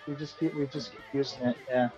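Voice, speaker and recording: A man, the band's singer and guitarist, answering interview questions in a relaxed, rambling way and often repeating himself. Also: medium pitch, quick pace, highs cut off, soft background music. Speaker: band vocalist and guitarist being interviewed.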